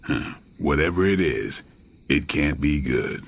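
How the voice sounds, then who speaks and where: Gloomy male hero, low pitch, wary, terse, game cutscene, faint night ambience. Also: expressive, a little slow, a little hiss or hum, heavily acted. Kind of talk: video game cutscene acting.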